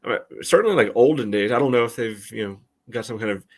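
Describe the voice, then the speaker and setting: A man's voice: medium pitch, fast talker. A man co-hosting a sports podcast, giving casual analysis in a hesitant, loosely phrased way full of "you know".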